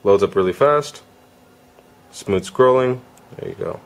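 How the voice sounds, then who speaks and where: A man's voice: medium pitch, a little slow. A man giving a casual, conversational product demo as a gadget reviewer.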